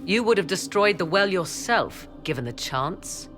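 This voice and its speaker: A woman's voice: lowish voice, animated, measured pace, a bit bright, faint music behind. Haughty witch with swooping delivery. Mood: scornful. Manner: imperious, arch, formal. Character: haughty, shrewd witch adviser, imperious woman. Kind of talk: dramatic video game cutscene acting.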